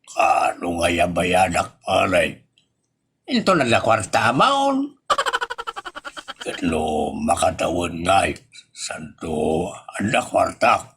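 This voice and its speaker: A man's voice: sits low, huge pitch swings, slow, pauses often, a bit bright, slight background noise, heavily acted. A man with an Ilocano accent playing a wheedling male friend out to borrow money in a comedy audio drama.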